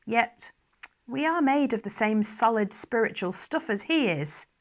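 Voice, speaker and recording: A woman's voice: a little high, lively intonation, unhurried. A fretful archangel bureaucrat , voiced by a woman, formal and wry, confiding in a diary. The manner is fussy and faintly superior, in a dramatised storytelling style. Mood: exasperated, disdainful. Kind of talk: first-person dramatised diary reading.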